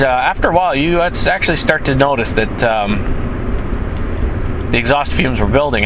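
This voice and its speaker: Rambling sniffly man over street traffic. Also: mid-range voice. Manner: rambling, self-correcting, chatty asides. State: sniffly, stuffy nose. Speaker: film crew member. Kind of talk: casual vlog monologue. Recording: traffic noise outdoors.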